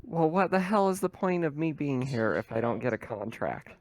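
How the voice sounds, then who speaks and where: A player of middling pitch voicing a cocky, prickly young tech worker for hire in a casual, brash manner. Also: big pitch swings, moderate pace, darkish tone, a little hiss or hum, lightly acted. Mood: defensive.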